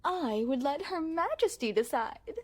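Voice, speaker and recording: A woman's voice: high voice, very animated, unhurried, a little mellow. A woman playing a refined young crown princess in an ASMR roleplay, speaking formally and with coy poise straight to the listener. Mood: proud, poised. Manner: formal, poised, genteel storytelling with coy amusement.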